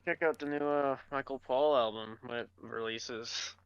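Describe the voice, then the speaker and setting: A man's voice: medium pitch, fairly even intonation, moderate pace, clear, resonant tone, dry recording. A man co-hosting a podcast in casual, slangy banter, joking and self-deprecating, on a slightly lo-fi close microphone. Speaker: podcast co-host.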